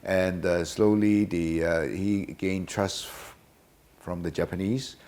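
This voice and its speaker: A man of about seventy, answering interview questions hesitantly with many filler pauses. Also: low-pitched, steady tone, a little mellow. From Hong Kong. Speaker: guest.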